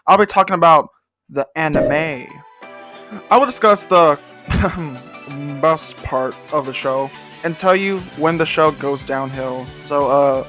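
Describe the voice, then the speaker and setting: A man's voice: on the high side, takes it slowly, over music. A young man hosting a casual comedic anime commentary, rambling with self-deprecating jokes muttered under his breath. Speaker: host.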